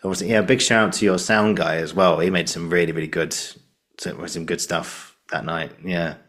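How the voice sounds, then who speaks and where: A man's voice: deep voice, steady tone, moderate pace, a bit bright. A man hosting a casual interview, rambling and often correcting himself as he talks. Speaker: podcast host, interviewer.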